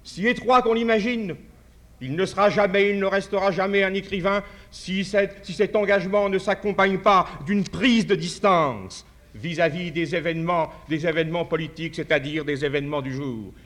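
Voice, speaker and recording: Man, formal congress speech, fairly high voice, measured, restarts phrases. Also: a bit bright. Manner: measured, tells a parable with restarts. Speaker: conference speaker.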